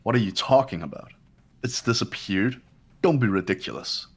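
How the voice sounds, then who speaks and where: A man's voice: fairly low pitch, animated, moderate pace, slightly rough. A man voicing a haughty, impatient young man in an amateur mystery game dub, curt and commanding. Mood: incredulous.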